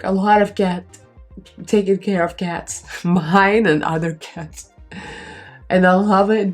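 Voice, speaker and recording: A woman's voice: lowish voice, darkish tone. Congested, nasal woman chatting through makeup. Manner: chatty, rambling with fillers, talks while applying makeup. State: congested, nasal, strained voice from a cold. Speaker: beauty vlogger. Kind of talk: casual makeup tutorial chat.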